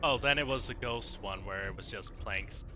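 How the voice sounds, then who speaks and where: Bright-voiced, chatty male gaming streamer. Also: on the low side, normal speed. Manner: rambling, chatty, thinking aloud. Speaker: let's play streamer. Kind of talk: casual gaming commentary. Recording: slightly dull sound, faint game music. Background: faint game music.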